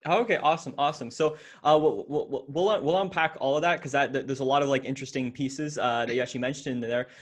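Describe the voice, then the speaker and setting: A man's voice: medium pitch, fast. Young guy hosting a business podcast, eager, keeps tripping over words, lots of like and uh. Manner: eager, stumbling with many "like" and repeated words. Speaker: podcast host. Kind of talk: casual interview hosting. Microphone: podcast microphone in frame.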